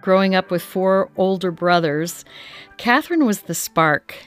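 A woman talks as an interview guest in a slightly low voice. She speaks in a reminiscing style, with some music underneath. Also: lively intonation, a little slow. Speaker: family descendant, interview guest.

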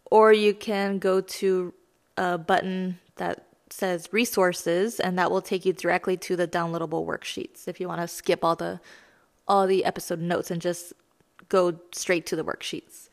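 A woman in her thirties hosting a self-help lesson podcast, her voice raspy as she gets over being sick, talking in a chatty, self-deprecating, explanatory way. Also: fairly low pitch, level tone, unhurried. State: raspy voice from recent illness. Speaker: podcast host.

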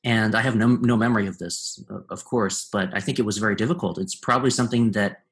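Soft-spoken, thoughtful man in conversation. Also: fairly low pitch, steady tone, fast talker, creaky. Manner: thoughtful, searching for words. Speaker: guest composer. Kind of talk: casual conversation.

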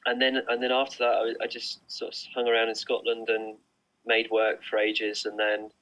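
Low, flat-voiced man, hesitant and rambling. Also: moderate pace, lo-fi sound. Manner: hesitant, rambling, many fillers and restarts. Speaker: artist, interview guest. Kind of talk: casual interview answers. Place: remote online recording.

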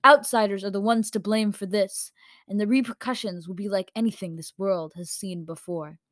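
A woman voicing a formal, sinister male leader in a story, giving a measured official address. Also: medium pitch, no echo, clean studio sound, lightly acted. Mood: grave, ominous.

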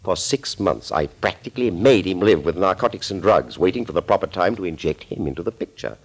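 Male mad doctor mastermind for 1940s radio thriller: low voice, sweeping intonation, urbane and amused. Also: animated, brisk, breathy edge, exaggerated voice. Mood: smug, explaining. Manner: urbane, amused, theatrical, mockingly courteous. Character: suave, gloating mad doctor, a master villain and impersonator. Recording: old scratchy 1940s radio recording.

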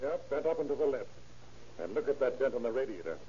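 Man acting observant detective, medium-pitched voice, insistent plain manner, 1930s radio crime drama, faint hiss. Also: sing-song, fast, warm tone, close to an everyday voice. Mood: intent. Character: dogged, observant male police detective partner. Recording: muffled, hissy old radio recording.

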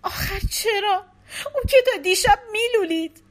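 A woman's voice: very high voice, very animated, pitched above the performer's own voice. A woman voicing a distraught young wife grieving her stillborn baby, pleading and tearful. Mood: anguished, frantic. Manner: pleading, tearful, rising to cries.

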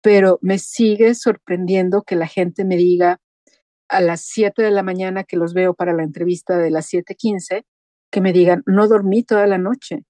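Lively woman radio presenter, dry studio. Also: lowish voice, normal speed, dry recording. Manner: lively anecdotal storyteller. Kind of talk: casual radio chat.

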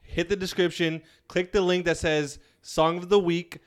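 A man's voice: sits high, flat delivery, moderate pace, smooth. A young man co-hosting a casual podcast, chatting loosely with lots of fillers and frequent laughs. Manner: laughs often, many fillers. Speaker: podcast co-host. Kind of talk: casual chat.

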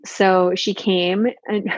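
A chatty woman in her thirties talks casually, slipping into quoted back-and-forth as she tells her stories. Her voice sits at a middle pitch. The sound is dry and close, but a little dull and lo-fi. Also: no room sound. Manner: chatty, animated storytelling with quoted exchanges. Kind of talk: casual chat. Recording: slightly muffled, lo-fi audio.

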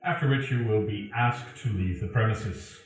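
A man voicing a stern male arena announcer, proclaiming the rules in a loud, measured way. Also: lowish voice, faint music behind, natural voice. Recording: echoey room, far from the mic.

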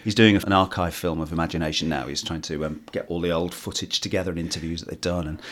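Male actor, interview guest, very low husky voice, meandering self-deprecating delivery. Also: steady tone, quick pace, a bit bright, a little hiss or hum. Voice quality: hoarse, husky when tired. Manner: rambling, restarts often, self-deprecating. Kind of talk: casual interview chat.

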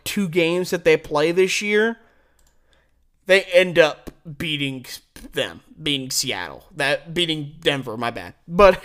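A man's voice: high voice, fairly bright tone. A man hosting a live sports show, giving opinionated, conversational commentary on game picks and punching key words.

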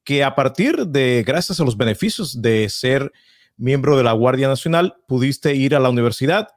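A man's voice: mid-range voice, animated, normal speed, no room sound, studio quality. A man hosting a podcast, summarizing his guest's answers and asking thoughtful questions. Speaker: podcast host. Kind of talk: interviewing.

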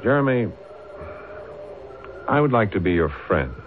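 An actor playing a genial, fatherly older gentleman who speaks earnestly and formally in an old-time radio drama. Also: on the low side, very animated. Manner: earnest, paternal, measured, formal phrasing. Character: Mister Jefferson, genial, fatherly older gentleman of standing, peacemaker. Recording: muffled, noisy old radio drama recording.

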